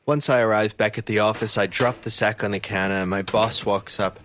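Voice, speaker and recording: A man's voice: on the low side, fairly even intonation, a little fast, background noise, natural voice. A man playing an earnest, bewildered fisheries officer who narrates his own story in an audio drama. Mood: hushed wonder, recounting.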